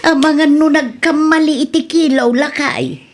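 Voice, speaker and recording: A woman's voice: sits high, steady tone, a little slow. A woman with a Filipino Ilocano accent playing a poor older wife who sells scrap, in a radio comedy-drama. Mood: exasperated. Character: older poor wife selling scrap, complaining to her husband.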